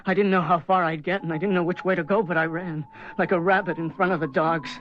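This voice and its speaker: A man's voice: high-pitched, steady tone, quick pace, lo-fi sound, a little hiss or hum, lightly acted. An actor playing a tormented, menacing young man on the run in a radio horror drama, intense and brooding. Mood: haunted, brooding. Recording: band-limited radio drama with music underneath.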